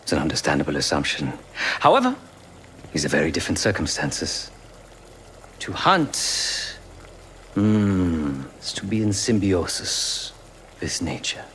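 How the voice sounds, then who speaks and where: Deep-voiced brooding ex-enforcer, formal, philosophical, expressive swings, video game monologue, faint background noise. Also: male voice, a little slow, pauses often, heavily acted. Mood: patient, philosophical. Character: remorseful male ex-enforcer turned pacifist, philosophical, brooding, formal.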